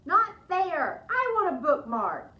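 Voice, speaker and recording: A woman's voice: extremely high pitch, very animated, takes it slowly, bright, forceful tone, echoey room, muffled recording, voice pushed up, full character voice. A woman voicing young library children in a storybook, plaintive, blunt and direct. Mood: indignant.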